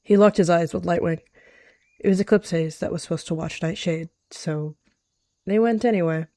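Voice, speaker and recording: A woman's voice: lowish voice, normal speed, warm tone, no room sound. A woman narrating an improvised roleplay story in the third person, as one of the players. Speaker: roleplayer narrating and voicing cat characters.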